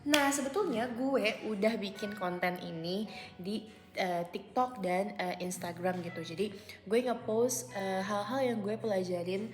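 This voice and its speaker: A woman's voice: mid-range voice, a little slow. A woman in her twenties talking casually to camera at home in a vlog, chatty and full of fillers, often slipping into English phrases. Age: 20s. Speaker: vlogger. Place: at home, indoors. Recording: echoey room.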